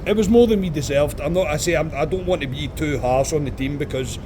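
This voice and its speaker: A man hosting a football podcast outdoors, hoarse and giving blunt, opinionated verdicts. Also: fairly high pitch, brisk. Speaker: podcast host. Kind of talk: casual football chat. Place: outdoors on a street after a match. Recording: outdoor street noise behind.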